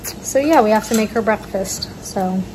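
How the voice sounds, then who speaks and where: A woman's voice: mid-range voice, normal speed. A teenage girl casually walking through a recipe step by step in her home kitchen, with plenty of fillers. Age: teens. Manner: chatty, explaining step by step, lots of fillers. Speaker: vlogger cooking at home. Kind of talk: casual cooking demo. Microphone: phone camera, handheld at times. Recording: echoey kitchen, loud background noise.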